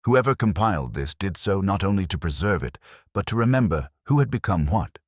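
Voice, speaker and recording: Grave detective read by a male narrator: bottomless low voice, precise phrasing, dramatic rises and falls. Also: very animated, fairly quick, breathy edge, no room sound, clean studio sound, full character voice. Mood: grave, deliberate. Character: grave, incisive male detective, theatrically deliberate.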